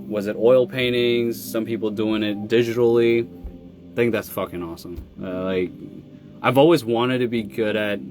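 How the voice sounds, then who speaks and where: A man with a low voice talks loosely into his phone during a live stream, answering fans in a laid-back, rambling way. Faint music plays behind him. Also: steady tone, moderate pace, a little mellow. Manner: laid-back, lots of fillers and laughs. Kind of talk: casual live-stream chat reading fan comments.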